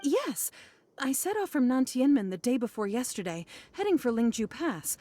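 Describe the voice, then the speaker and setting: A woman's voice: sits high, sing-song, moderate pace, warm tone, no room sound. A woman voicing a courteous, apologetic female scholar in a video game. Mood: polite. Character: polite, flustered female scholar.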